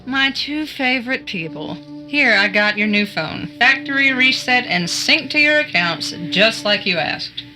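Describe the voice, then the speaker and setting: An actress playing a put-upon, sarcastic assistant in a naturalistic audio drama, with a dry delivery. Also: female voice, fairly high pitch, lively intonation, unhurried, hardly any pauses, bright, forceful tone, soft background music, full character voice, poor audio. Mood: breezy. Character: sardonic, put-upon female assistant running errands.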